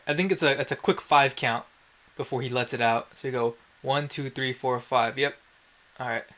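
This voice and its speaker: A man's voice: medium pitch, fairly bright tone. A man giving casual gameplay commentary in a wry, self-deprecating way, with some mild swearing. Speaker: let's play host.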